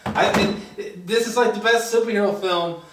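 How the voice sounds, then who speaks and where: A man in a casual film review chat, talking in a halting, rambling way, repeating phrases for emphasis and swearing freely. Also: high voice, a bit bright. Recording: echoey room, distant mic.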